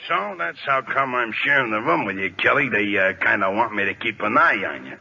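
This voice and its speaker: An actor playing a gruff, good-natured American baseball catcher in slangy, ungrammatical 1940s radio comedy style. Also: male voice, lowish voice, expressive, sharp tone, heavily acted. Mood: nosy, teasing. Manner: slangy, ungrammatical, drawn-out hesitations. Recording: dull, hissy old radio recording.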